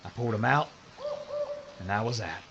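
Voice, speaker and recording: A man's voice: on the low side, moderate pace, vocal fry, muffled recording. A man playing a reluctant gunfighter who calls himself a regular guy, with a nervous, folksy drawl. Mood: weary. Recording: noisy audio drama recording.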